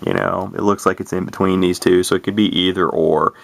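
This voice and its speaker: Deep gravelly man, rambling casually. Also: flat delivery, brisk, dry recording. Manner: rambling, hesitant, repeats himself. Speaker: hobbyist radio reviewer. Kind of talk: casual unscripted product walkthrough. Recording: noisy amateur camcorder audio.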